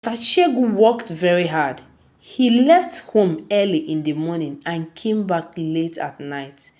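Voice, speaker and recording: A woman narrates a scripted folktale in a slightly low voice. Her delivery is dramatic and very unhurried, with pitch that rises and falls widely from phrase to phrase. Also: animated, darkish tone. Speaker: storyteller.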